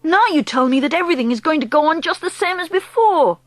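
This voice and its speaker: A woman voicing a young boy who has been turned into a mouse, eager and anxious, pleading and excitable. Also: high-pitched, fast, dry recording, pitched above the performer's own voice.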